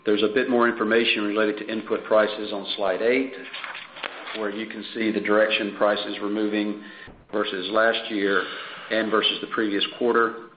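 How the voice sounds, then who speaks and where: Male executive, lowish monotone delivery of financial results, businesslike, on a dull conference line with faint noise. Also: normal speed, reverberant. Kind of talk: prepared earnings call presentation. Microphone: conference call line.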